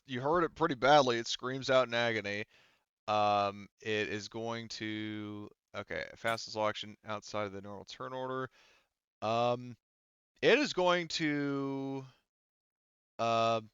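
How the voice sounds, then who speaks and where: A man's voice: medium pitch, measured pace, a bit bright, smooth, dry recording, muffled recording. A man with a clean, resonant voice runs a tabletop roleplaying game in an informal, explanatory way. He swears casually as he talks. The sound is dry, with little room on it, and slightly dulled in the top end. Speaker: game master.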